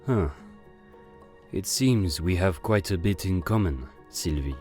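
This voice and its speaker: A man playing a silver-tongued, gentlemanly con artist of living fire who sprinkles in French endearments, in a romantic audio drama. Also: low-pitched, lively intonation, slow, soft background music. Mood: tender, reassuring.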